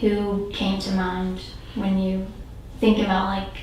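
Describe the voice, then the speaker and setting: A woman's voice: medium pitch, completely flat delivery, slow, a little mellow, slight background noise. A young woman interviewing a student, asking her questions hesitantly with fillers. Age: young adult. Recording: roomy echo, speaker distant from the mic.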